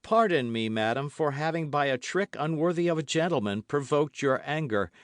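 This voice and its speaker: A man's voice: on the high side, lively intonation, moderate pace, no room sound, clean studio sound, voice pushed up, close to an everyday voice. A man voicing a young man who speaks earnestly, with pain in his voice. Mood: anguished. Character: youngest of the men, torn and sorrowful.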